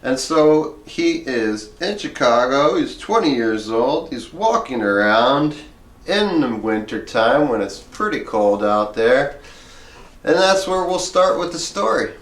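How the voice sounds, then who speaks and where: A man's voice: medium pitch, very animated, slow, fairly bright tone, roomy sound. A man reading a memoir aloud expressively, with casual asides and theatrical emphasis on key words. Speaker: reader of a memoir.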